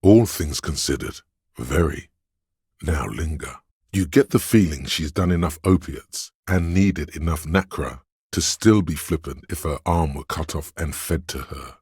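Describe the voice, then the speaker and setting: An actor voicing a seductive, hedonistic inner voice of addiction and lust in a video game, savoring words in a husky, insinuating way. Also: male voice, a little slow, darkish tone, dry recording, clean studio sound, full character voice. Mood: lustful, savoring. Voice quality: deep, gravelly, husky. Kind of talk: video game character narration.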